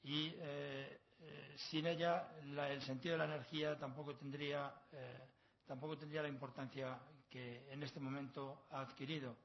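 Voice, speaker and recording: A man's voice: mid-range voice, flat delivery, normal speed, vocal fry. Castilian Spanish professor, a man lecturing, dull recording. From La Mancha, Spain. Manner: erudite, discursive, with proverbs and learned asides. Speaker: university professor, conference speaker. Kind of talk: academic conference lecture. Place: long conference hall. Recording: slightly dull, lo-fi sound.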